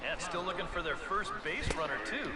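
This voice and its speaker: High-voiced male color commentator, distant and noisy. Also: animated, brisk. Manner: conversational, explanatory. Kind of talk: conversational sports analysis in a video game. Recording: distant game audio, echoey and very noisy.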